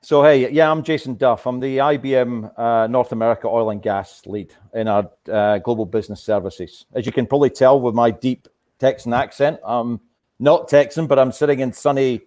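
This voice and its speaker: A man's voice: mid-range voice, steady tone, moderate pace, a little mellow. A man speaking casually as a corporate guest being interviewed on an online call. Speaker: corporate sponsor guest, oil and gas executive.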